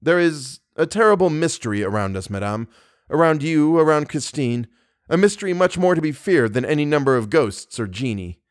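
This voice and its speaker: A man's voice: fairly high pitch, animated, moderate pace, no room sound, studio quality, pitched above the performer's own voice, close to an everyday voice. A man voicing an anxious, courteous young nobleman in love, formal and impassioned. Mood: urgent, insistent.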